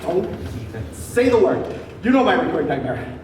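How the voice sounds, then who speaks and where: A distant, echoey and noisy stage recording of a young man playing a pompous, paranoid revolutionary in an absurd comedy. He declaims theatrically, swinging widely in pitch and flaring into outbursts. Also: big pitch swings, normal speed, darkish tone. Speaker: actor. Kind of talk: amateur one-act stage comedy acting. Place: indoor stage, recorded from far off.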